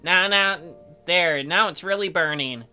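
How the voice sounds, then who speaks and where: A man's voice: high voice, measured pace, bright, forceful tone, clear, resonant tone, soft background music, full character voice. A man voicing a lonely, anxious talking bear in a visual novel, speaking hesitantly. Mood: forlorn, resigned. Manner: hesitant, questioning. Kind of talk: visual novel dialogue read aloud.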